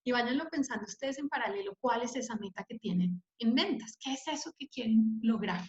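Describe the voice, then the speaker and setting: A woman's voice: a little high, lively intonation, brisk, darkish tone. A woman presenting a live online training webinar, explaining step by step, checking in with her audience and using some fillers. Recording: echoey, dull lo-fi online audio.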